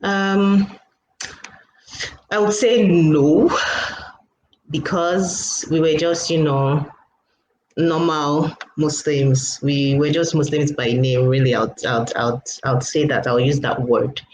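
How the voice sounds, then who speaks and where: Guest, woman from Lagos, chatty and halting, roomy call audio with a soft hiss. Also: low-pitched, steady tone. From Lagos State, Nigeria. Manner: hesitant, many fillers and laughs. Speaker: guest, author and writing coach. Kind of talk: casual interview chat. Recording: echoey room, faint background noise.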